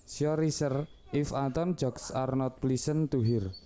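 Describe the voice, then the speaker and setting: A man's voice: mid-range voice, a little slow, warm tone, slight background noise, lightly acted. A young man playing a polite, apologetic student in an amateur radio drama. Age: young adult.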